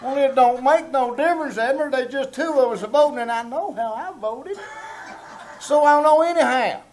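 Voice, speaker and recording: Man playing a high-pitched, officious country old-timer. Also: normal speed, a crowd in the background. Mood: impatient. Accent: rural Southern American. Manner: folksy, officious, mock-formal. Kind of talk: old-time radio comedy reenactment on stage. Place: small-town theater stage before an audience. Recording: live theater recording with background noise.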